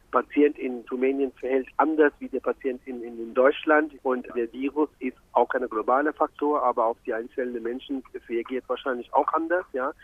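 Husky man on a tinny line. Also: mid-range voice, no echo. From Sri Lanka. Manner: earnest, rambling, with many restarts. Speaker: visiting doctor. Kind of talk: interview answer.

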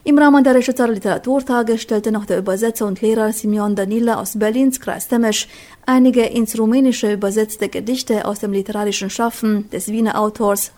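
A woman narrating a scripted radio news report in an even, measured read. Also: on the high side.

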